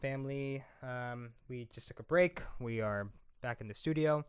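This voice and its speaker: Smooth-voiced young man co-hosting. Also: medium pitch, normal speed, dry recording, clean studio sound. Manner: joking, reads out news headlines in a mock anchor voice. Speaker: podcast co-host. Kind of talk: casual chat.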